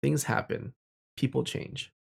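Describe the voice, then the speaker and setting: A man's voice: mid-range voice, a little slow, creaky, darkish tone, slightly rough. A young man telling personal stories in a casual, candid and reflective way, speaking informally. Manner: candid, informal, with mild swearing.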